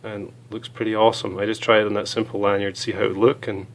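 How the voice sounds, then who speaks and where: A hoarse man with a cold, chatting casually as he reviews small gear items, with many fillers. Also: lowish voice, level tone, fast talker.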